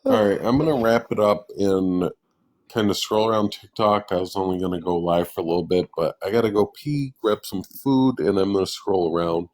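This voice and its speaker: A man's voice: sits low, normal speed, a little mellow. A man hosting a livestream, arguing hotly with callers and swearing in exasperation. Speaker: livestream host. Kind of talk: heated livestream debate.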